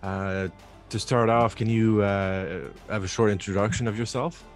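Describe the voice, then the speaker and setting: A man in his thirties hosting a casual interview, speaking in halting, broken-up phrases into a desk microphone. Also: fairly low pitch, steady tone, soft background music. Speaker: show host. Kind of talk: casual interview, channel intro. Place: small home office, indoors, video call. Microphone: desk microphone close in front.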